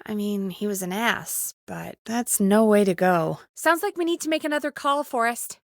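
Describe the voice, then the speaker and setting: An actress playing an earnest, excitable female radio call screener in a video game, speaking in an expressive, conversational way. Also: mid-range voice, normal speed, no room sound, studio quality, natural voice. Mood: subdued, resolved.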